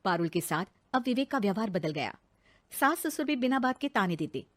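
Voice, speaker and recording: A woman's voice: medium pitch, sing-song, brisk. A woman narrator reading a scripted moral story in a dramatic storytelling style.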